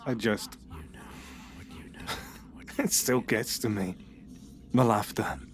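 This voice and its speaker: An actor playing a troubled, unwell man who confesses to the police in a halting, hushed voice with nervous laughs. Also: on the low side, a little hiss or hum. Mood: haunted. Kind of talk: audio horror drama.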